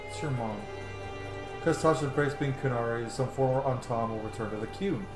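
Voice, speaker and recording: Man, thirties, medium pitch, casual gaming commentary, echoey room, music behind. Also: brisk. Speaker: game streamer. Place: small room indoors.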